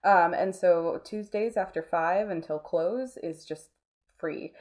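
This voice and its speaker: A woman's voice: fairly low pitch, slow pace, darkish tone. A woman talking casually as an interview guest, chatty and informal, often dropping in filler phrases. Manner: chatty, informal, frequent "like" and "you know". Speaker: interview guest, nonprofit arts space co-founder.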